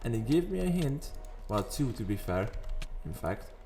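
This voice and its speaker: Man with a raspy morning voice. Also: mid-range voice, big pitch swings, normal speed, dark tone. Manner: chatty, rambling, wanders into sidetracks. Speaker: gaming video host. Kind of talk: casual gameplay commentary. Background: faint background music.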